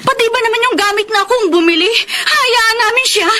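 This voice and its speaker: A woman's voice: extremely high pitch, fairly quick, pushed and bright, slight background noise. An actress in a radio drama playing a tearful young woman who pleads to protect her family. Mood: distressed. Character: young woman Chona, tearful eldest daughter.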